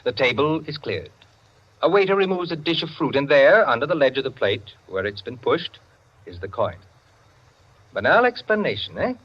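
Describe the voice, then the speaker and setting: An actor playing an opinionated, self-satisfied clubman who lectures his friends in theatrical 1940s radio style, with savored pauses. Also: mid-range voice, very animated, slightly rough. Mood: savoring, dramatic. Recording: dull, band-limited 1940s radio recording.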